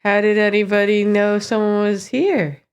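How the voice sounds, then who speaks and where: A woman's voice: medium pitch, steady tone, moderate pace, clear, resonant tone, highs cut off. A sniffly, run-down woman co-hosting a gaming stream, chatting casually with dry teasing.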